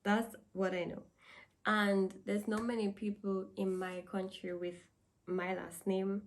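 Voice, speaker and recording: A woman's voice: medium pitch, level tone, measured pace. Chatty young woman from Dominica. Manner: chatty, laughs often, repeats herself. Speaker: vlogger. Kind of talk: casual talk to camera.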